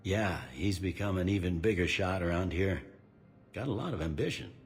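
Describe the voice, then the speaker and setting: A middle-aged police commander in a video game, with a low voice. He is cynical and tired, speaking plainly and with dry candor. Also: moderate pace, slight background noise. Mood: wry, matter-of-fact. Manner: plain-spoken, wry, world-weary.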